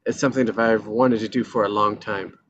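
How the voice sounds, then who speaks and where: A man playing a discontented, self-pitying married man in his thirties in a live screenplay read, speaking casually and haltingly. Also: lowish voice, steady tone, muffled recording, a little hiss or hum, close to an everyday voice. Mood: earnest, nervous.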